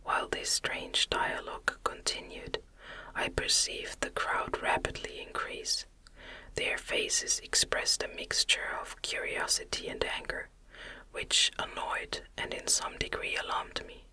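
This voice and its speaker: A narrator reading a classic novel in a soft, unvoiced ASMR whisper with a soothing cadence. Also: measured pace.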